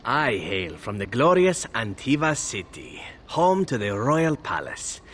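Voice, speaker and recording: Roguish, lively foreign charmer. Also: male voice, mid-range voice, unhurried, a little hiss or hum. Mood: wistful. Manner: teasing, florid, theatrical phrasing. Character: roguish, charming male foreign assassin, wistful about home. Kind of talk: video game character acting.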